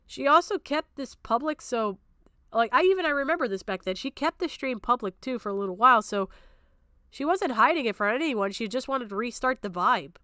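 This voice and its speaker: A woman's voice: a little high, expressive, brisk, bright, forceful tone, no room sound, clean studio sound. A woman streamer giving heated off-the-cuff commentary, blunt and emphatic, swearing freely and repeating herself to make her point. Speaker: streamer reacting to a recorded stream.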